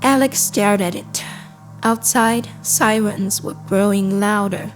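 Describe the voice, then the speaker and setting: A woman playing a calm, impassive AI assistant, narrating a scripted audio drama in a measured, detached, deliberate way. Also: mid-range voice, lightly acted. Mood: somber, measured. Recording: cinematic music behind. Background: cinematic score.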